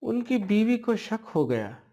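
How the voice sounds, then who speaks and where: A man narrating a ghost story as a storyteller, in short, halting phrases. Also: sits high, big pitch swings, mellow. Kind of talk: slow spoken ghost storytelling.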